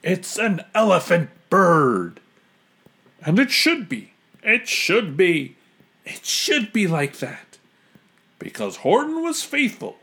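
Male narrator puts on gawking circus crowd folk for a storybook; high and squeaky. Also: huge pitch swings, takes it slowly, bright, forceful tone, breathy edge, exaggerated voice. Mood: amazed.

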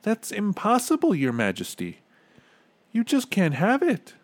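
A man doing a high, earnest page boy. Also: wildly swooping pitch, measured pace, no echo, exaggerated voice. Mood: pleading, anxious. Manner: pleading, reasoning.

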